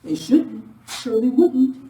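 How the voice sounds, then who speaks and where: A theatrical, folksy townswoman played by a woman in a live radio play. The voice is high and swings around freely in pitch. It comes from off in a roomy space with a lilt. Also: very animated, a little slow, dark tone. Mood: exclaiming, insistent. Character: folksy Irish townswoman Miss Leary, full of sayings. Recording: roomy, distant live hall recording, faint noise.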